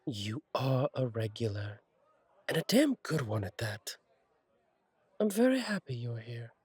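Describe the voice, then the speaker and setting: A man playing a friendly, courteous angel bartender in an intimate roleplay audio, speaking gently with thoughtful pauses. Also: medium pitch, big pitch swings, breathy edge, dry recording, exaggerated voice. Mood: warm, reassuring. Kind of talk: intimate roleplay audio acting, one side of a conversation.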